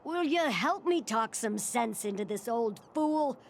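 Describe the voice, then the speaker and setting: An actress playing a shopkeeper's older wife in a video game, worried and nagging. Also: female voice, a little high, expressive, measured pace, vocal fry, slight background noise. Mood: worried, pleading. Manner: folksy, nagging. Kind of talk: video game character acting.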